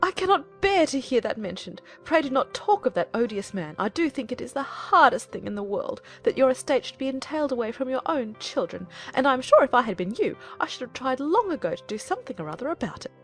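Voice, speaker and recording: A woman's voice: high-pitched, very animated, fast talker, few pauses, soft background music. A woman playing a fretful, indignant middle-aged mother in a dramatized audiobook, querulous and melodramatic in her complaints.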